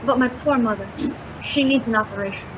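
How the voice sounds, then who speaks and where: A woman's voice: on the high side, lightly acted. Woman playing a coy femme fatale, muffled noisy sound. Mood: coy, scheming. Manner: coy, deliberate, insinuating. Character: sly, flirtatious female informant selling dangerous secrets. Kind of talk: hard-boiled detective radio drama, femme fatale. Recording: dull, noisy track with street ambience.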